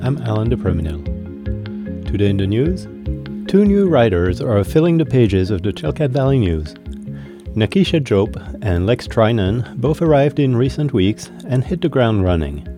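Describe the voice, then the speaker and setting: A man hosting a local radio newscast, reading the news briskly and then asking casual interview questions. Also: medium pitch, sing-song, over music.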